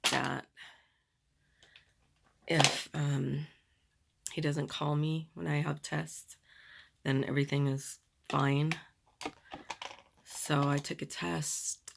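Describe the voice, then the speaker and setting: A middle-aged woman with a raspy voice, chatting candidly while doing her makeup, in a slow, rambling manner. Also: low-pitched, background noise. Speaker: beauty vlogger.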